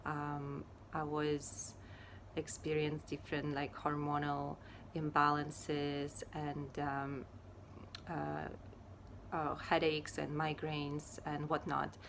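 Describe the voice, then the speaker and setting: Low-voiced woman from Ukraine, unhurried. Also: monotone, background noise. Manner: unhurried and hesitant, many fillers. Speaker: yoga teacher and Ayurveda therapist. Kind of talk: casual personal talk to camera.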